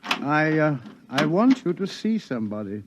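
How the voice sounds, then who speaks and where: An actor playing a grave scientist and doctor who lectures in a measured, ominous way. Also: male voice, on the high side, very animated, a little hiss or hum. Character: grave older scientist warning of doom. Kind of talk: 1950s radio acting. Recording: dull 1950s radio broadcast.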